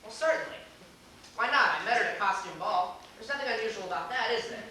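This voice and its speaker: A flustered, polite gentleman acted by a man stumbles anxiously through formal old-fashioned phrases on a theater stage. His voice is bright and keen, heard from a distance in a very echoey hall. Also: sits high, lively intonation, quick pace, exaggerated voice. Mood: indignant, flustered. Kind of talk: amateur stage acting in a comic one-act play. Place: small theater stage, recorded from the audience. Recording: echoey theater, distant camera mic, hissy noise.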